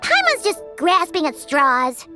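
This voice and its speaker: A woman voicing a tiny, excitable, childlike fairy companion girl in a video game, with a squeaky, exclamatory delivery. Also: unhurried, heavily acted. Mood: puzzled, earnest. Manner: exclamatory, refers to self in third person. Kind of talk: video game character acting. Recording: music playing behind the voice.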